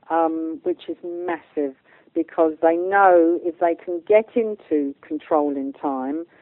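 A woman's voice: deep voice, no room sound. A woman guest explaining spiritual beliefs in an informal, rambling way, often checking that she is followed. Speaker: guest medium and channeller. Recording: narrow, phone-like line.

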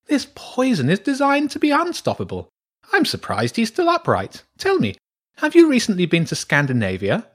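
A man's voice: high-pitched, wildly swooping pitch, moderate pace, husky, voice pushed up, exaggerated voice. A man voicing a haughty, eccentric female badger priestess in a children's audiobook, theatrical and exclamatory in manner. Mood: scornful, curious.

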